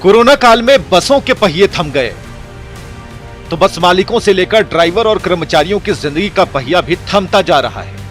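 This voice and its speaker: A man's voice: high-pitched, lively intonation, normal speed, fairly bright tone, faint music behind. A man reading scripted television news reports as a voice-over presenter.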